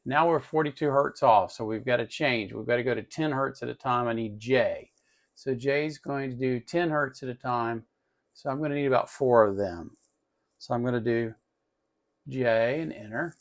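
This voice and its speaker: A man's voice: mid-range voice, normal speed. A man hosting a casual hands-on electronics tutorial, talking informally and thinking aloud as he works. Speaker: host of an electronics tutorial channel.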